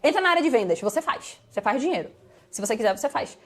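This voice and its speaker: A Brazilian woman from Bahia with a smoothed São Paulo accent, a guest telling her life story in an animated, emphatic way that repeats phrases for effect. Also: on the high side, fast talker. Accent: Brazilian Portuguese, São Paulo-smoothed. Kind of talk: conversational interview storytelling.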